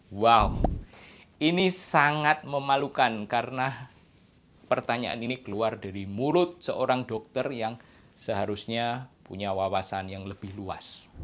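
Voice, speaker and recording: A middle-aged man explains his views to camera in a measured way, stressing key words, on a lapel mic. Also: mid-range voice. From Indonesia. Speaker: doctor, medical specialist presenting to camera. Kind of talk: explanatory opinion talk to camera. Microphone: clip-on lapel mic.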